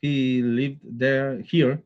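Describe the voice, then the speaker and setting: A man's voice: mid-range voice, level tone, extremely slow, muffled recording. A man from Latin America co-hosting a casual chat, making brief laid-back remarks.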